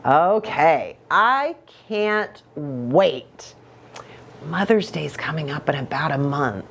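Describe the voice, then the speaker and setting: A woman pastor reading a children's story aloud, expressive and dramatic, speaking directly to children. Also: low voice, slow, slight background noise.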